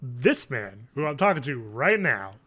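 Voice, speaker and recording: Male co-host, thirties, medium pitch, jokey and sweary movie-podcast banter. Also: sing-song, measured pace, bright, forceful tone. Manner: joking, swears casually. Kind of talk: casual film and TV chat. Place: small home room, remote call.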